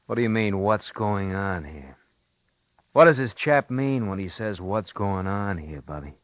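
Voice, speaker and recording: A menacing, domineering tough guy from a 1950s radio thriller. His low voice moves widely as he taunts and gives orders. The old recording sounds muffled. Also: expressive, measured pace, no room sound. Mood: smug, taunting. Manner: taunting, commanding. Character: menacing, domineering male accomplice, smug and brutish.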